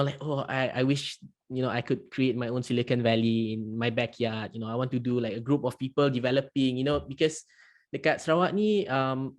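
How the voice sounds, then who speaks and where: Young Malaysian man rambling casually. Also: medium pitch. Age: young adult. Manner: rambling, many fillers like "you know". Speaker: entrepreneur panelist. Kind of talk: virtual panel discussion. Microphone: video call.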